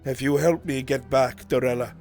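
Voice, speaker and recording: A man acts out a grim fantasy man with silver to pay and a score to settle. He is mid-pitched, solemn and deliberate, pleading in formal phrases over music, in the manner of live roleplay. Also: fairly even intonation, a little mellow, close to an everyday voice. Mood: earnest, determined. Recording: music under the voice.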